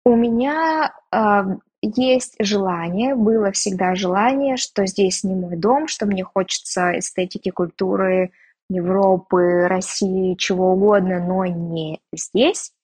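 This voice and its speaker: A woman's voice: medium pitch, slow pace, darkish tone, breathy edge. A woman from Russia chatting frankly and casually in an interview, joking about herself. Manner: frank, chatty, self-mocking. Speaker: interviewee, emigrant. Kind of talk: candid casual chat.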